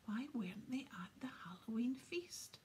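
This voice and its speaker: A woman's voice: fairly high pitch, animated, a little mellow, slight background noise. A woman doing a cold, sneering teacher. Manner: sneering, slow.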